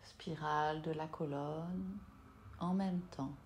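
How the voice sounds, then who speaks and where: A woman yoga nidra teacher leading a guided meditation in a soft, soothing, unhurried way with long pauses. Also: deep voice, steady tone, a little hiss or hum.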